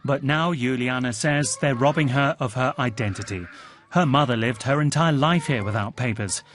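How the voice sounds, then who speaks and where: A man narrating a television news report in a measured, scripted voice, also voicing translated quotes. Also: mid-range voice, a little hiss or hum. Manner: measured, even reporting delivery.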